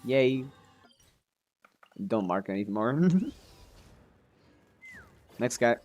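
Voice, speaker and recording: A man's voice: mid-range voice, extremely slow, darkish tone, soft background music. Casual male game streamer thinking aloud. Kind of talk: casual livestream gaming commentary and chat.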